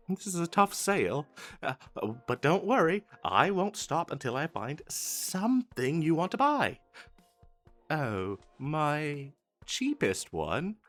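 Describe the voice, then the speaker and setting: A man's voice: a little high, heavily acted. A man playing an eager, pushy wizard salesman in a comedic fantasy roleplay, chatty and stammering through his sales patter. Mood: flustered, reluctant.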